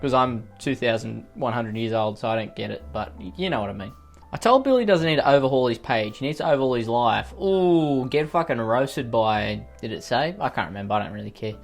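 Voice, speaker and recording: A man's voice: mid-range voice, animated, a little fast, creaky, soft background music. Sweary, rambling Australian guy. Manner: profane, rambling, sarcastic asides. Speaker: YouTuber reading and reacting to online posts. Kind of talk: comedic commentary on read-out posts.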